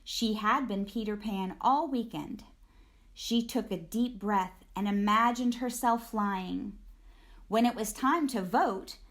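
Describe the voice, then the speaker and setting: Female storybook reader: unhurried, expressive children's narration, mid-range pitch.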